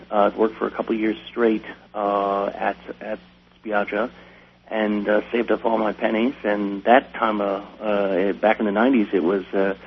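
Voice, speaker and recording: A man's voice: lowish voice, gravelly. An American man talking casually as an interview guest, telling stories in a hesitant way with repeated phrases. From Wisconsin, United States. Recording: dull lo-fi radio sound, light hum.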